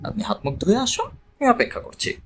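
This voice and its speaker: A man voicing a polite, self-assured male professor in an audiobook, measured and orderly in his speech. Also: very high voice, wildly swooping pitch, a little hiss or hum, pitched above the performer's own voice, heavily acted. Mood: composed, polite. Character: formal, self-assured male mathematics professor seeking a consultation.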